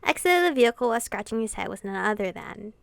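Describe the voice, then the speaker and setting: A woman's voice: mid-range voice, fast, vocal fry, no room sound. A woman hosting a let's-play, reading visual novel dialogue aloud and reacting theatrically with plenty of swearing. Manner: expressive, foul-mouthed, theatrical reactions.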